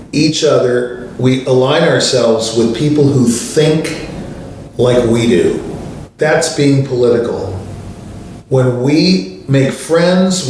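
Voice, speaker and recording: A man's voice: medium pitch, darkish tone, slight background noise. Older man telling stories in an echoey room. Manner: anecdotal, conversational, many fillers. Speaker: show host, pianist. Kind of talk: storytelling monologue. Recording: distant mic in a very echoey room.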